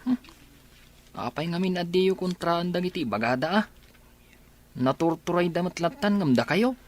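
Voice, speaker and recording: A woman's voice: fairly low pitch, lively intonation, normal speed, a little mellow. A woman voicing a grown daughter who pleads with her father in a radio drama. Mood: coaxing.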